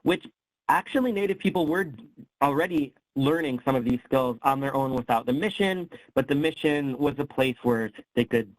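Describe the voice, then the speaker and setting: A man's voice: mid-range voice, moderate pace, no room sound, rough recording. Man lecturing with fading voice. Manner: explanatory, with frequent ums and self-corrections. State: losing his voice. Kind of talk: online history presentation. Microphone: computer mic on a video call.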